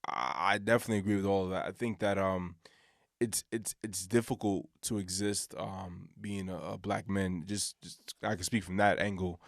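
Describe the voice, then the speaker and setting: A deep-voiced man co-hosting a casual podcast chat, relaxed and repeating words as he searches for his thoughts. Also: normal speed, gravelly, dry recording, clean studio sound. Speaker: podcast co-host. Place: hot room in a building.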